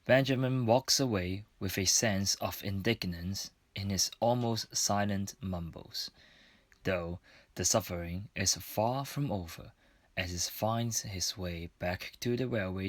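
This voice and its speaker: A man's voice: low-pitched, measured pace, dry recording. A man narrating a scripted drama as its storyteller.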